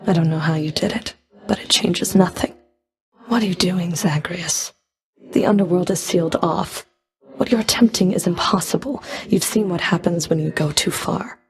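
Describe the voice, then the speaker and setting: A woman's voice: fairly low pitch, moderate pace, close to an everyday voice. A woman playing a stern, scornful Fury of the underworld in a video game, speaking in a cool, clipped, disdainful manner. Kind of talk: video game combat and dialogue voice lines.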